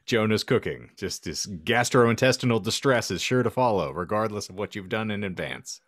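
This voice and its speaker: A man's voice: on the low side, expressive, moderate pace, dry recording, studio quality. A man co-hosting a podcast, bantering in a wordy, mock-formal, sardonic way. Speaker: podcast co-host. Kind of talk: casual comedic banter.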